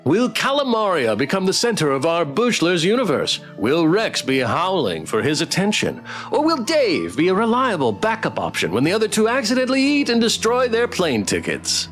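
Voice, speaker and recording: Brief: male game streamer, theatrical read-aloud, fairly high voice, faint music behind. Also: huge pitch swings, normal speed, hardly any pauses, fairly bright tone. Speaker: streamer playing and voicing a visual novel. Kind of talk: playthrough read-aloud and commentary.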